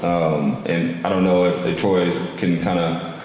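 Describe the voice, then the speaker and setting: Casual male podcast host, lowish voice; distant, echoey, noisy room. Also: fairly even intonation, unhurried, a little mellow. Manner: easygoing, repetitive, affirming "yeah". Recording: echoey far-off room with noise.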